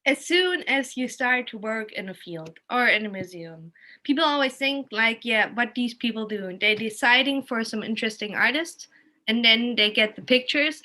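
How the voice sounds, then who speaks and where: A woman's voice: on the high side, bright, forceful tone. A woman with a German accent, a native German speaker, talking casually and emphatically in an informal, opinionated way. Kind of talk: casual, animated conversation.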